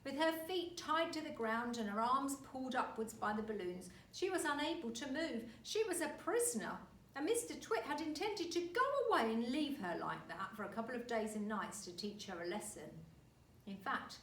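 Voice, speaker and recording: A woman reading a children's story aloud like a teacher, expressive and deliberate. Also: on the high side. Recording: roomy, distant mic, faint noise.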